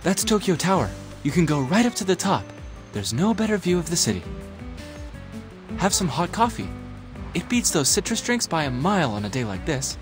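An actor playing a nervous, excitable young male student in a video game, stammering and good-hearted but cowardly. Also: on the high side, moderate pace, music in the background. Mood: friendly, hesitant. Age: young adult. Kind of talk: video game dialogue acting.

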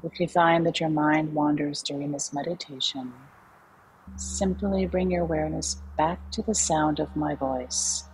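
A woman leading a guided meditation in a calm, soothing, unhurried way with long pauses. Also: low voice, slight background noise, poor audio. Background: soft background music.

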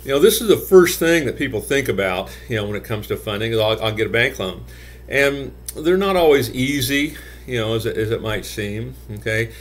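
A man's voice: medium pitch, lively intonation, fairly quick. Older man giving casual business advice. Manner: conversational, many "you know" fillers, gestures with hands. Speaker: gym business consultant. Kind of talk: talking-head business advice video. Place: office with shelves, indoors.

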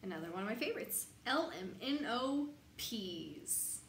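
A young woman reads a bedtime story for children with very animated, swooping intonation. She takes her time, stressing words like a teacher. The room is noticeably echoey, recorded from a little way off. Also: a little high, unhurried. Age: young adult. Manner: expressive, sing-song, teacherly with emphasized words. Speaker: picture book reader. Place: small glassed-in sunroom. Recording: roomy echo, light background noise.

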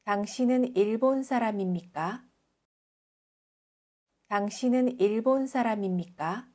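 Slow, higher-voiced woman teaching Korean. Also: darkish tone. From South Korea. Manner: careful, deliberate enunciation. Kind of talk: scripted reading of example sentences.

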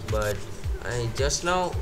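A man's voice: mid-range voice, animated, slow pace. Indian man narrating a phone demo over music. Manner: unscripted, thinking aloud with fillers and self-corrections. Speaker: tech video host. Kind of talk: casual screen-recorded tech walkthrough. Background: background music.

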